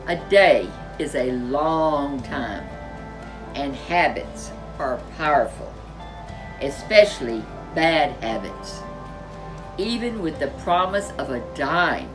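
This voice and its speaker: A woman narrating a children's storybook in a deliberate, emphatic, expressive way. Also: low-pitched, poor audio.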